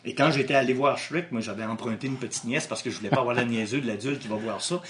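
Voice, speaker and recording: A man's voice: mid-range voice, fast, a bit bright. A man with a Québécois French accent, an author answering interview questions in a thoughtful way, with frequent restarts. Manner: thoughtful, with many restarts and hesitations.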